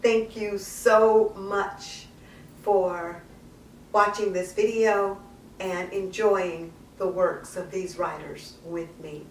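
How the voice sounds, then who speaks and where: A woman's voice: medium pitch, steady tone, slow, a little hiss or hum. A woman hosting a literary video series, announcing readings and signing off to viewers. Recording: roomy echo, distant microphone.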